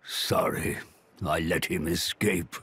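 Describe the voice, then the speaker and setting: A man voicing a huge old dragon in a video game, a gruff but fond uncle with a gravelly, husky voice. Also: low-pitched, fairly even intonation, slow pace, fairly bright tone, full character voice. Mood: fond, weary. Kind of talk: video game cutscene acting.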